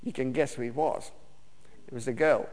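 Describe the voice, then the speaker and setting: A man from Romania, preaching a personal testimony sermon in a halting way, with many fillers and restarts. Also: medium pitch, fairly even intonation, darkish tone. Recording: long reverb of a hall.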